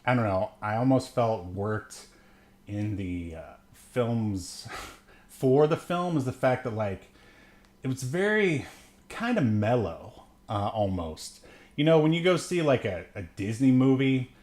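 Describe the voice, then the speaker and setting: A man's voice: mid-range voice, animated, a little slow, a little mellow. A man around his thirties or forties chats casually as a podcast co-host, joking and meandering through his thoughts. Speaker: podcast co-host and film reviewer. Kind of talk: casual unscripted film discussion.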